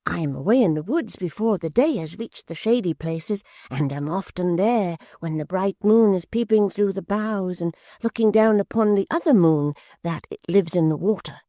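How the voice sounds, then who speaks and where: A woman's voice: medium pitch, expressive, moderate pace, hardly any pauses, dark tone, no echo, clean studio sound, voice pushed up, lightly acted. A woman voicing an eager, simple-minded young man who talks in wondering, pleading bursts. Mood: dreamy pleading.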